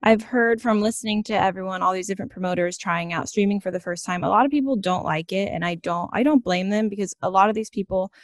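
A woman's voice: medium pitch, fast talker, hardly any pauses, dry recording, studio quality. A woman hosting a podcast, chatting casually with a guest in an encouraging, affirming way. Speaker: podcast host. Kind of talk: casual interview chat.

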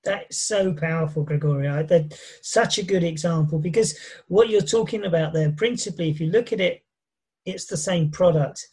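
A man's voice: a little high, moderate pace, darkish tone, breathy edge. A man leading a business discussion, talking in an enthusiastic, conversational way.

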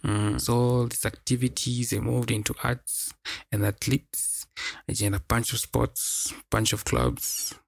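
Gravelly young Kenyan man podcasting casually. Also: medium pitch, level tone, measured pace, no echo. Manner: slangy, repeats words for emphasis, mixes in Swahili phrases. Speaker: podcast host. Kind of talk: casual solo podcast chat.

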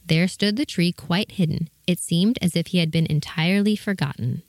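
Low-voiced woman narrating a storybook. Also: normal speed, a little mellow, dry recording.

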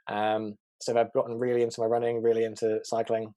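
Fast-talking young English man. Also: mid-range voice, monotone, mellow, no echo. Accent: English, neutral southern. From England. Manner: fast-talking, chatty, many fillers and laughs. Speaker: interview guest, art director. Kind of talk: casual video-call interview.

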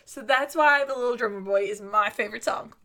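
Casting: young female host with a bright, high-leaning voice, animated storyteller. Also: a little fast. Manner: animated storyteller, laughs often. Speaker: podcast host. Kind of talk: casual chat.

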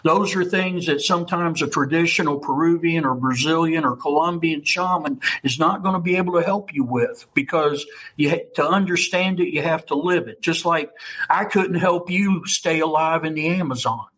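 A man's voice: on the high side, normal speed, fairly bright tone, husky, poor audio. A man in his fifties with a Kentucky accent, telling his story as an interview guest in a blunt, salty, conversational way. He keeps checking in with his listener with "okay?" and "you know.". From United States. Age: 50s. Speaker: interview guest, ayahuasca ceremony leader.

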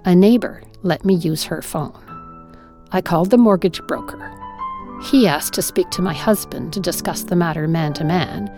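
A woman reading a first-person story aloud in an expressive, wry, dramatic way. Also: on the low side.

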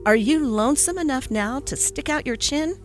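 A woman's voice: high voice, lively intonation, soft background music, voice pushed up. A woman doing an eager, breathless little boy. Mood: curious. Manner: rapid eager tumbling speech.

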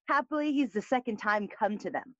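A woman's voice: fairly high pitch, a little slow, fairly bright tone, no room sound, lo-fi sound. A woman playing a polite, lightly amused young courtier in a Shakespearean audio play. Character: deferential, amused young courtier, old school friend of the prince.

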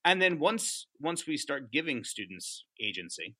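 A man talking about teaching as a podcast guest, animated and passionate, often asking "right?". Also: a little high, a little slow, no echo, studio quality. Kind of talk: casual interview chat.

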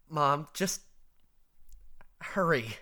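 A man playing an anxious, hapless son in an audio drama, halting and stammering, easily flustered. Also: fairly high pitch, expressive, sharp tone, heavily acted. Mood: nervous, reluctant.

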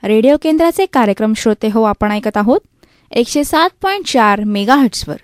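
A woman's voice: on the high side, lively intonation, fairly quick, no room sound, studio quality. A woman from delivers scripted radio announcements.

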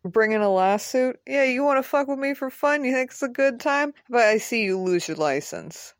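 A woman's voice: on the high side, expressive, moderate pace, no echo, pitched above the performer's own voice. A brash ex-convict guy in an audio drama, acted out by a woman. He talks bluntly in street slang, swears freely and sounds aggrieved, recorded close and dry. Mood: indignant.

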